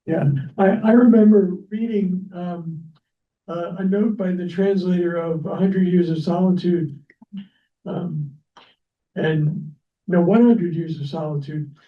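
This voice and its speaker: A man moderating a library panel, speaking off the cuff in a halting way. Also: high-pitched, steady tone. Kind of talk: unscripted remarks at a library panel. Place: echoing library event room. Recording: roomy, muffled, distant microphone.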